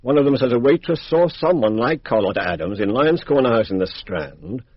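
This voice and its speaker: An actor playing a blunt, confident English police inspector, chatty and matter-of-fact, in a radio drama. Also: male voice, medium pitch, lively intonation, brisk, breathy edge, no room sound. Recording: dry, dull lo-fi radio recording.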